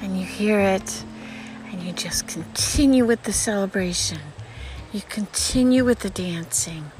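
A woman leading a guided visualization meditation in a slow, soothing, spiritual voice that often sinks to a whisper. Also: mid-range voice, darkish tone, faint music behind, rough recording. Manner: repetitive, spiritual phrasing.

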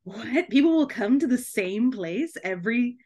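A woman chatting casually as an interview guest, an author who tells stories in an animated, giggly way with lots of "like". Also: on the high side, moderate pace.

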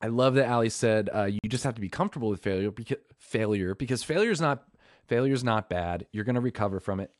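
A man's voice: fairly low pitch, fast, no room sound, clean studio sound. A man hosting a podcast alone in a room, rambling and enthusiastic, often correcting himself mid-sentence. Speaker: podcast host. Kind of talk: casual solo podcast talk.

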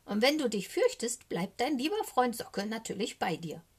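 A woman voicing a small cheeky young male raven in a children's storybook, croaky and excitable, with a wheedling, anxious way of talking. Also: fairly high pitch, normal speed, voice pushed up. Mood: wheedling, eager. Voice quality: croaky, squawky.

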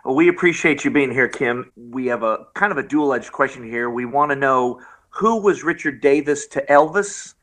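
Male interviewer with mid-range pitch. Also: normal speed, hardly any pauses, a bit bright, rough recording. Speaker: interviewer, co-host. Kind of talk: interview questioning.

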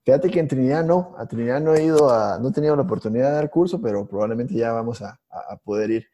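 A man co-hosting a podcast, telling stories in a halting way with many restarts and fillers. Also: mid-range voice, warm tone. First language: Spanish. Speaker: podcast co-host, IT instructor. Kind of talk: casual podcast conversation.

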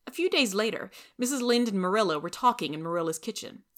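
A woman's voice: mid-range voice, lively intonation, fast. A woman reading a scripted story aloud as its narrator.

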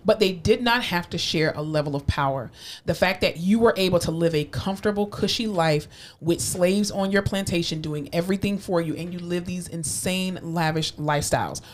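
A woman's voice: fairly low pitch, normal speed, a little hiss or hum. A woman co-hosting a casual podcast discussion, emphatic and opinionated, building to impassioned appeals. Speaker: podcast co-host.

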